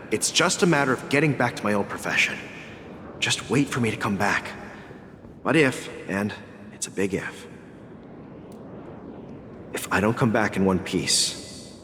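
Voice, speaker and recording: A man's voice: on the low side, expressive, measured pace, pauses often, a bit bright, big-room sound, a little hiss or hum. A man voicing a kindly old veteran space wanderer, bold and hopeful, in a video game cutscene. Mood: wistful, solemn.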